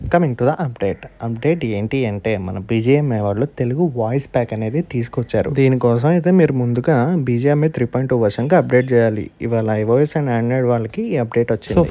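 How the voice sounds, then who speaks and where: Fast-talking, mellow Telugu Indian man. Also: mid-range voice. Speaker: gaming channel host. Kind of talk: scripted tutorial narration.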